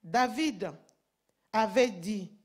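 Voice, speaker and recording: A woman's voice: medium pitch, slightly rough. A woman preaching a sermon in French, which is not her first language, reading scripture and explaining it emphatically, sometimes rising in volume. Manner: slow, emphatic, rising to loud passages.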